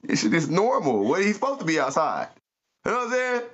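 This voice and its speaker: A man telling animated, slangy stories to his listeners. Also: high voice, quick pace, slight background noise. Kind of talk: animated comic storytelling. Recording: muffled lo-fi recording.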